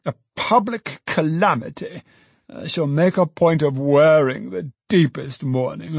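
Male reader as self-important storybook alderman: raised pitch, exclamatory, piously lamenting, dry close recording. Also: creaky, breathy edge, dry recording, heavily acted. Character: pompous, sanctimonious alderman, excitable and self-important man. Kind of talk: dramatized audiobook character voice.

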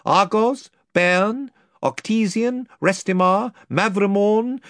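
A man's voice: high-pitched, slow pace, a bit bright, dry recording, studio quality, voice pushed up, exaggerated voice. A man voicing a brave young king who speaks earnestly and formally.

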